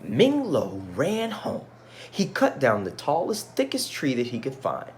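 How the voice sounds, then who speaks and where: Man, teacher, storybook read-aloud, expressive swinging pitch, casual asides, slight background noise. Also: a little slow. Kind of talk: animated picture-book read-aloud with asides to children.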